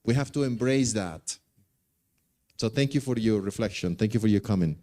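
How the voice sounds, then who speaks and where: A man's voice: lowish voice, animated, normal speed, mellow. A man with a Colombian accent, a native Spanish speaker, replying to audience comments at a conference and repeating key words for emphasis. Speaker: conference presenter.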